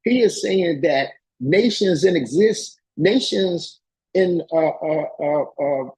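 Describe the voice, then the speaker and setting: Male lecturer, notably high voice with heavy rasp, hammering points home in plain colloquial speech and repeated phrases. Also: expressive, slow, a little mellow, rough recording. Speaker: political education lecturer. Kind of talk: impassioned political lecture, reading and commenting on a text.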